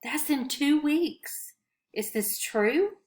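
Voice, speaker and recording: A woman storyteller plays a sixth-grade boy who is anxious and full of schemes. The delivery is earnest and emphatic, with lively rises and falls at an unhurried pace. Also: high voice, pitched above the performer's own voice, exaggerated voice. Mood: concerned, insistent.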